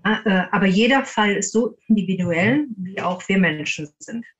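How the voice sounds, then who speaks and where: Through a slightly dull, lo-fi recording, a woman tells stories in a conversational interview style. Her voice sits at a medium pitch, and she acts out quoted speech as she recounts. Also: expressive, moderate pace, a bit bright. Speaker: interviewed amateur ghost hunter. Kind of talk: conversational anecdote telling.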